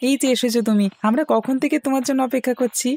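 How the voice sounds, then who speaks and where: A man's voice: very high voice, steady tone, fast, darkish tone. A man playing a cheeky, insistent village lad in a fairy-tale cartoon, wheedling as he speaks. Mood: eager.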